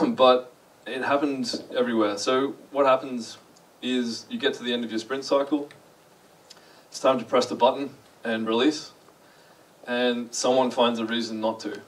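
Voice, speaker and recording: A man's voice: medium pitch, fairly even intonation, a little slow, recorded from a distance, low-quality recording. A young man with an Australian accent, giving a chatty, self-deprecating conference talk and asking the audience questions. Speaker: conference speaker. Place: large dark lecture hall.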